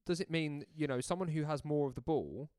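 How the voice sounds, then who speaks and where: A man's voice: fairly high pitch, brisk, darkish tone, smooth, no room sound, studio quality. A young man co-hosting a football podcast, blunt and chatty, forever calling his co-host mate. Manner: blunt, calls everyone mate. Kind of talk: casual football chat.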